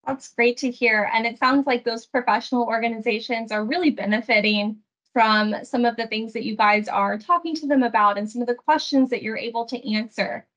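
A woman's voice: fairly high pitch, steady tone, fairly quick, fairly bright tone. Young woman interviewer, friendly, kinda muffled call audio. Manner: friendly, polished questions. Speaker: interview host. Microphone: video call. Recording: slightly dull video call audio.

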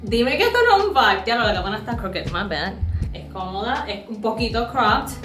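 A woman in her thirties with a Puerto Rican accent, vlogging casually at home and in her car, chatty and expressive, slipping into English mid-sentence. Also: fairly high pitch, moderate pace. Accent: Puerto Rican Spanish. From Puerto Rico. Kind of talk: casual vlog, Spanish mixed with English. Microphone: camera at arm's length and dashboard camera. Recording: music bed under the voice.

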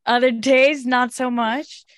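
A young adult woman from Brazil, a native Portuguese speaker, telling her story as a podcast guest in a lively, animated way. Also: high-pitched, takes it slowly, clear, resonant tone, no room sound, studio quality. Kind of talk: casual interview chat. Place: home office with bookshelves. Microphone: studio mic on boom arm.

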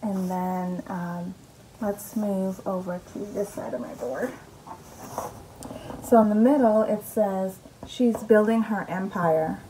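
A woman's voice: mid-range voice, slow, mellow, a little hiss or hum, rough recording. A young woman talks straight into a close camera in her bedroom, chatty and upbeat. Her voice sits mid-range, easy and unforced, with frequent ums and restarts. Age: young adult. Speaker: mom vlogger. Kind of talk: casual vlog chat. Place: bedroom at home, indoors. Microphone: camera in front at close range.

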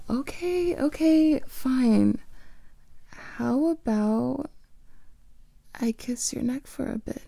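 A woman playing a playful, affectionate vampire lover in an ASMR roleplay, speaking softly and often in whispers into a close microphone. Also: high voice, extremely slow, vocal fry, long pauses, no echo. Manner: soft teasing, often whispered.

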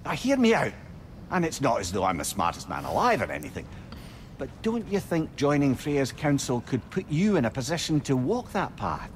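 An actor playing a wry, chatty old talking head and loyal advisor with a Scottish accent in a video game. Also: male voice, medium pitch, very animated, normal speed, slight background noise, close to an everyday voice. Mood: earnest, persuasive. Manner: chatty, wry, earnest persuasion. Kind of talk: video game dramatic acting. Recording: game audio under music.

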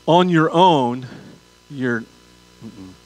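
An older pastor delivers a sermon in a mid-pitched voice. He speaks conversationally to his listeners. He repeats key phrases and poses rhetorical questions to drive each point home. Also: expressive, extremely slow, poor audio. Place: large church auditorium stage.

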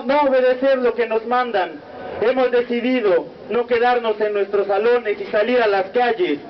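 A young man with a Mexican accent reading a solemn protest speech as a student speaker, in a measured, declamatory cadence. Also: very high-pitched. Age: young adult. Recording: muffled lo-fi recording with faint noise.

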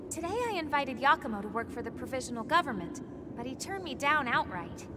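A woman's voice: high voice, sing-song, fairly quick, heavy background noise. A woman voicing a spirited, frank young fighter in a video game, breezy and informal. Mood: breezy, fond.

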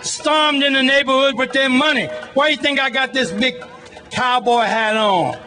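High, forceful New man in his seventies. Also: fairly even intonation, moderate pace, soft background music, some chatter behind. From Brooklyn, New York. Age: 70s. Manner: militant, folksy, defiant. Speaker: community activist at a rally. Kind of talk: improvised protest speech. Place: outdoor city rally stage. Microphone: handheld microphone.

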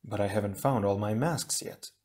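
A man's voice: fairly low pitch, normal speed, a little mellow, lightly acted. A man voicing a stern, reserved male ice hero who speaks formally and tersely. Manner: formal, clipped.

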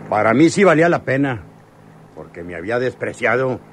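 An actor plays a callous male gang boss in a radio drama, scornful and domineering. His mid-pitched voice sits in a faintly noisy old recording. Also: very animated, fairly quick, lightly acted. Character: arrogant, callous male outlaw gang leader. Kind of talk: radionovela acting.

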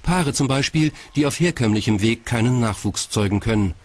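A man's voice: medium pitch, animated, moderate pace, darkish tone. Male documentary narrator over background noise. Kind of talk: scripted narration.

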